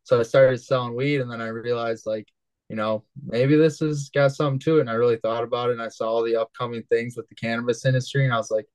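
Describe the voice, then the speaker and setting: A young man in his twenties from West Michigan talks casually as an interview guest, easygoing and loose, with plenty of "you know" and "uh". His voice sits at an everyday middle pitch. The recording is dry and close, with little room sound. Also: fast talker, no echo, low-quality recording. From West Michigan, USA. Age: 20s. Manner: relaxed, rambling, many fillers. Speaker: guest, cannabis entrepreneur.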